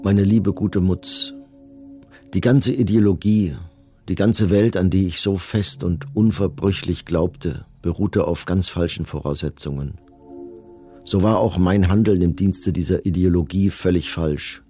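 Low, dark-voiced remorseful condemned commandant. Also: male voice, soft background music. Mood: fatalistic, remorseful. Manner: grave, measured, formal. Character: remorseful condemned Nazi camp commandant, solemn and self-justifying. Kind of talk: dramatized reading of memoirs and letters in radio documentary.

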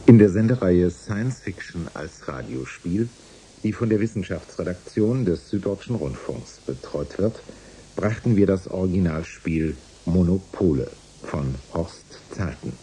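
A man reading scripted credits as a radio announcer, formal and deliberate. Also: sits low, animated, mellow, husky.

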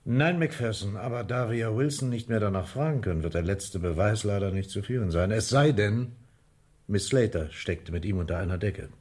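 An actor playing a grumpy, impatient Scotland Yard chief in a German radio crime drama, a man with a husky voice and a brusque, sarcastic manner. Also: fairly low pitch, moderate pace, darkish tone, close to an everyday voice. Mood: dry, sceptical.

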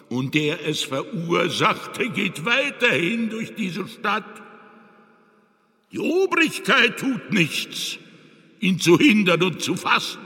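A fanatical male prelate in a radio drama, whipping up his flock from the pulpit. His high voice swings widely and rings through a long church reverb. The delivery is declamatory and inflammatory. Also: pushed and bright, husky, full character voice.

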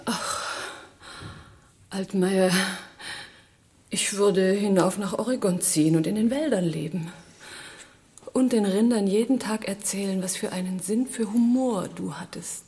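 An actress playing an outspoken, anxious but tender wife in a radio drama, with an expressive, emotional delivery. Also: female voice, mid-range voice, a little slow, pauses often, close to an everyday voice. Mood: tender, wistful. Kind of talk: German radio drama acting. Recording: roomy, distant, slightly noisy radio play.

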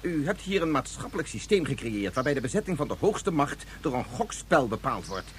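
A man's voice: mid-range voice, sing-song, fairly quick, a little hiss or hum, natural voice. A man playing an earnest space traveller from Earth in a radio drama, wordy, hesitant and argumentative. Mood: indignant, reasoning. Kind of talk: Dutch radio drama acting.

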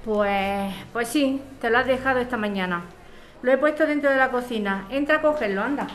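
Actress as firm woman neighbour, fairly high voice. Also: brisk, a little hiss or hum, close to an everyday voice. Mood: helpful. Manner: reproachful, firm. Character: hurt, resolute woman neighbour facing eviction. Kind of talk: audio fiction podcast acting.

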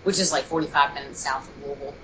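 Young Kentucky-raised woman guest, deep voice, loose rambling delivery, light background noise. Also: fairly even intonation, fast, a bit bright, poor audio. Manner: rambling, many fillers and restarts. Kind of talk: casual interview chat.

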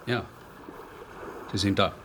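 An actor playing a brisk, commanding English agent in a classic German radio play, curt and sardonic. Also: male voice, a bit bright. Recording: noisy old radio play recording.

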